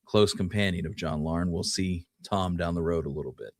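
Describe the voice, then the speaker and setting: A man's voice: low-pitched, normal speed, no echo, clean studio sound. A man hosting a history show, telling Wild West stories in a casual, folksy, conversational way. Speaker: podcast host telling history.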